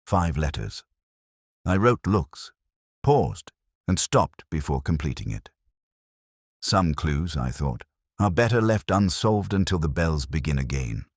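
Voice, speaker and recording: A man playing a weary, sharp-minded police detective, narrating a mystery in a measured, literary, wry and brooding way. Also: very deep voice, sing-song, no room sound, clean studio sound, heavily acted. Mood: weary, reflective. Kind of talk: scripted first-person detective narration.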